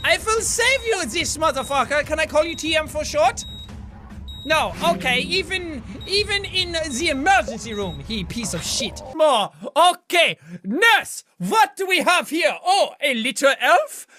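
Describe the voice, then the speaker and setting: A manic, cocky surgeon rants in a mock German accent during comedic game commentary. The voice is high, sharp and forceful, leaping around in pitch with theatrical, foul-mouthed bravado. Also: male voice, very animated, unhurried, faint music behind, heavily acted. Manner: theatrical, boastful, swearing, erratic outbursts.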